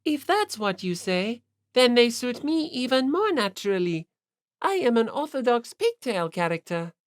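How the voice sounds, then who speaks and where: A man's voice: very high-pitched, very animated, normal speed, no echo, studio quality, voice pushed up. A man voicing a haughty, refined gothic teenage girl in an audiobook, with a prim, condescending manner.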